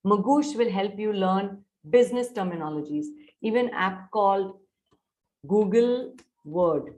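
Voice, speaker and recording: A middle-aged woman with an Indian English accent teaches a soft skills session over a video call. Her voice sits at an ordinary pitch for a woman. She urges students along and often stops to ask whether they are still with her. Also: measured pace. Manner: coaxing, mixes Hindi into English, checks on students often. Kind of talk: online workshop lecture.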